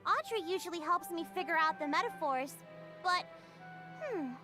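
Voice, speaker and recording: A woman voicing a perky, catty young alchemist in a visual novel, sweet on the surface and quietly cutting. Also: extremely high pitch, expressive, moderate pace. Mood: cheery catty. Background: game music.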